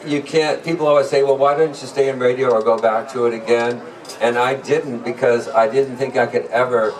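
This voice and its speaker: A man in his sixties, a former disc jockey, earnestly reminiscing to a live audience. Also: on the low side. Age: 60s. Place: live event room with audience. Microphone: event microphone, recorded from a distance. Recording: distant mic in noisy room.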